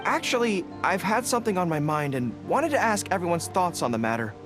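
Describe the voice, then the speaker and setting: An actor playing a young, earnest and sincere general in a video game, gentle and loyal to his soldiers. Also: high voice, very animated, brisk, music in the background. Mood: earnest, warm.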